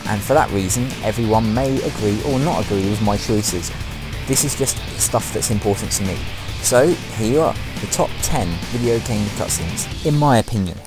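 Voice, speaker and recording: A man's voice: lowish voice, moderate pace. A man giving scripted opinion commentary as the presenter of a video game countdown. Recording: music behind the voice. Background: loud music.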